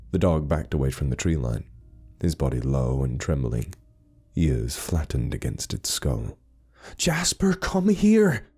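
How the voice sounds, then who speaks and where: A man's voice: a bass voice, dark tone, breathy edge. A man narrating a scripted horror story with suspenseful, dramatic delivery.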